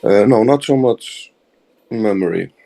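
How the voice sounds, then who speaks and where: A man's voice: lowish voice, a little slow, vocal fry, mellow. A man from Iceland with an Icelandic accent, giving plain, hesitant answers as an interview guest. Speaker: interview guest, fraternity member.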